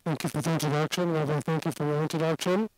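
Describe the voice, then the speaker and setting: A man's voice: on the high side, steady tone, quick pace, darkish tone, soft background music, low-quality recording. A man from Singapore with a mixed international accent, giving a seated, conversational lecture as an addiction expert. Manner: conversational, explaining and qualifying.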